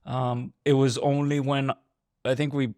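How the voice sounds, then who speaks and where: A man's voice: medium pitch, little pitch movement, a little slow, a little mellow, no echo. A man in his thirties hosting a podcast interview, chatty and friendly, laughing often and asking open questions. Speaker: podcast host. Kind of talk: casual interview chat.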